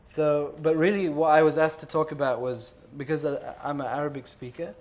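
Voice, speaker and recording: A man's voice: on the high side, fairly even intonation, fairly quick, a little mellow. A man from Jordan speaking at a panel talk, reflective and hesitant, with many fillers and restarts.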